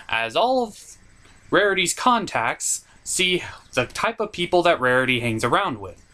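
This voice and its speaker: A man's voice: medium pitch, pushed and bright. A man hosting a comedic cartoon review, talking through the episode as commentary.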